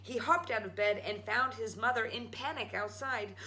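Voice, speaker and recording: A woman's voice: on the high side, normal speed, sharp tone. A woman reading a children's story aloud as a storytime host. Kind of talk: animated children's read-aloud.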